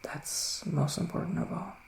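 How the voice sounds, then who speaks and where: A man speaking softly in an ASMR roleplay as a psychic witch, often near a whisper, musing slowly with little hums. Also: echoey room. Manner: slow, hesitant, musing, with murmured hums. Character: gentle, mystical male witch giving readings. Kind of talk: soft-spoken ASMR roleplay, partly whispered.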